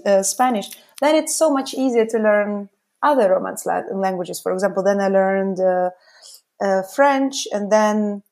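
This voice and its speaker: A woman with a Russian accent, a podcast guest telling stories in casual conversation, with many fillers as she talks. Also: mid-range voice. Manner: lively storytelling with frequent fillers.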